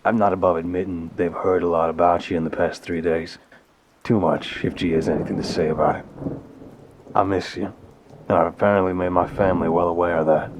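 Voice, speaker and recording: A man playing an apologetic, smitten mafia boss in an intimate audio roleplay, tender and wryly self-deprecating, with a husky voice. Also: deep voice, steady tone, brisk, background noise, lightly acted. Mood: wistful, affectionate. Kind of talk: intimate ASMR roleplay, conversational voicemail monologue.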